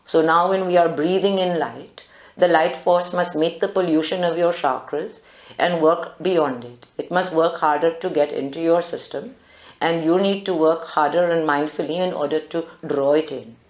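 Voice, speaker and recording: A woman with a low-pitched voice leads a guided meditation. Her delivery is calm, deliberate and instructive. The live recording sounds very roomy. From South Africa. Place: indoor group meditation room.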